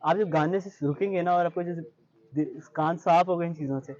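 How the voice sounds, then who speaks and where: A man's voice: on the high side, fairly quick, a little mellow, lo-fi sound. A young man with a Pakistani accent answering interview questions in an earnest, reflective way, mixing in English phrases. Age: young adult. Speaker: interview guest, high-achieving student.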